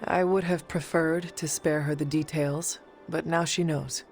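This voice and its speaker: An actress playing a stoic resurrected warrior woman in a video game, speaking formally and with measured care. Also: sits low, steady tone, a little mellow, soft background music. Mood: calm, reserved. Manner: formal, measured, avoids contractions. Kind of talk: video game cutscene acting.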